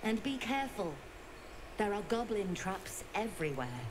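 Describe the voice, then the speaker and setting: A woman's voice: medium pitch, big pitch swings, moderate pace, crowd noise, lightly acted. An actress playing a curt, imperious female warrior held captive in a fantasy game. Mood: guarded, urgent.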